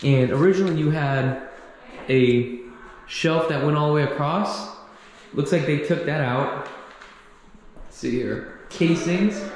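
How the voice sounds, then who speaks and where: A man giving a casual walkthrough commentary as a real estate agent, recorded on a handheld camera in empty rooms. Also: a little high. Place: empty condo rooms and outdoor walkway. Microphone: handheld action camera. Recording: echoey empty rooms, some background noise.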